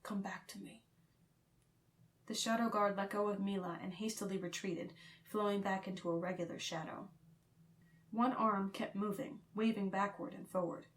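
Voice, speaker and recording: A woman's voice: medium pitch, fairly even intonation, normal speed. A woman reading fiction as an audiobook narrator, in a scripted, dramatic storytelling style.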